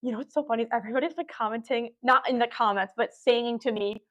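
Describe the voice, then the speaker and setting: A woman's voice: on the high side, quick pace, lo-fi sound. A young woman, a podcast guest, talking at length in a reflective, casual way. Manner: reflective, talkative, explains at length.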